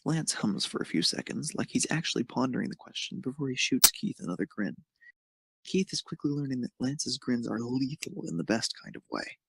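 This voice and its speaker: A man's voice: medium pitch, animated, brisk, vocal fry. A man reading scripted narration of a romantic fan fiction story.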